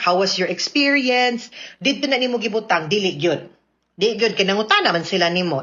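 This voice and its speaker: A woman's voice: mid-range voice, fairly bright tone. Cebuano Filipino woman, slightly dull radio sound. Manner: explanatory, conversational, frequent tag questions. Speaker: radio legal advice host, attorney. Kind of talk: spoken legal advice to listeners, mixing in English.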